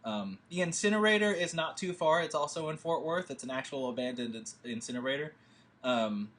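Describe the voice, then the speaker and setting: A man's voice: on the high side, expressive, a little fast, fairly bright tone. A man leading a film table read, talking through plans in a rambling, hesitant way with many fillers. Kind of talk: casual discussion.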